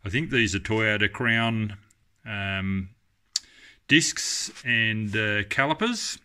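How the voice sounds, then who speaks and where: A middle-aged man talking casually through a car restoration in his home garage, thinking aloud with many pauses and ums. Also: fairly low pitch, animated, sharp tone, clean tone. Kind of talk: casual workshop commentary. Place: home garage workshop, indoors.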